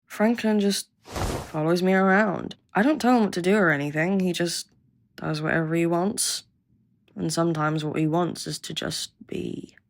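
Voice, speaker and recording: Actress as jaded young female researcher, low pitch, creaky, blunt, sardonic, grudging, audio roleplay. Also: normal speed, background noise, close to an everyday voice. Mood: defensive, blunt.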